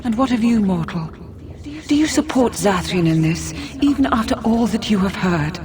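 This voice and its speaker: A woman's voice: mid-range voice, expressive, crowd noise, close to an everyday voice. A woman as a serene, stately forest spirit. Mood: calm, challenging. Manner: slow, stately, formal. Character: serene, dignified female forest spirit, ancient lady. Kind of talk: video game voice acting.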